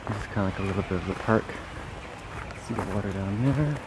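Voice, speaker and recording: A man's voice: fairly low pitch, quick pace, heavy background noise. A young man from Canada talking casually to a handheld camera, rambling and correcting himself as he thinks aloud, partly outdoors in a park and partly indoors. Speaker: travel vlogger. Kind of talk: casual travel vlog.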